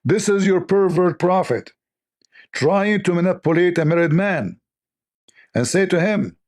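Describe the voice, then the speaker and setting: Male host, slightly high voice, hoarse from overuse, scornful lecturing tone, non-native English. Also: lively intonation, measured pace, darkish tone. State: losing his voice. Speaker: religious debate host. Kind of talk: polemical commentary reading texts.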